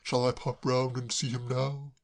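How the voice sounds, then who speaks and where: A reader voicing a genial, bumbling young English gentleman who speaks slowly and in a puzzled way. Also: extremely low pitch, level tone. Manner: fussy, polite, slow and puzzled.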